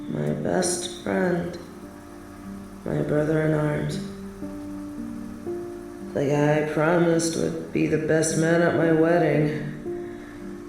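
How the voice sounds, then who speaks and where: A woman's voice: low voice, vocal fry, exaggerated voice, rough recording. A young woman acting in a scripted audio roleplay as a defiant, fed-up adult daughter of a snobby rich family, with a sardonic, emphatic delivery. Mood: wistful.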